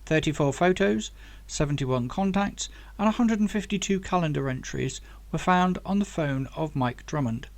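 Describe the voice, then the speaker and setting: A man's voice: a little high, lively intonation, moderate pace, gravelly. A man voicing a helpful computer professor in an audiobook, giving information in a matter-of-fact way. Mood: helpful, factual. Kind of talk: audiobook character dialogue.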